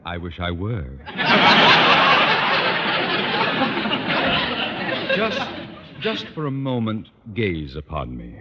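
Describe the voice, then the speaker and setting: A blustery, self-important older school boss in radio comedy. His fairly low voice swings with sarcastic, measured irritation. The old recording is dull and thick with noise. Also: wildly swooping pitch, over a noisy crowd, heavily acted. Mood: seething. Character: pompous, irascible older male school boss. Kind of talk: old-time radio sitcom acting.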